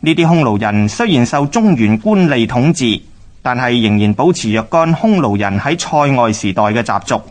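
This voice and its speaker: A man with a Hong Kong Cantonese accent narrating a scripted radio history drama in a measured, explanatory manner. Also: mid-range voice.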